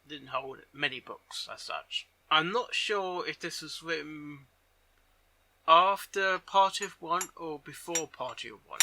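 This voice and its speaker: A man giving casual reaction commentary on a story, halting and often repeating his phrases as he goes. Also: a little high, sharp tone, poor audio. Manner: halting, repeats and rephrases himself. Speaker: reaction video host.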